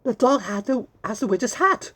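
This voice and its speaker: A man reading a children's picture book aloud in an animated way, pausing to point things out and exclaim. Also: very high-pitched. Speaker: storyteller.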